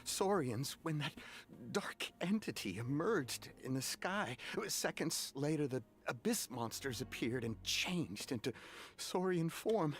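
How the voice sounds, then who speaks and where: Solemn, higher-voiced young survivor. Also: male voice, expressive, slow pace. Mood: shaken.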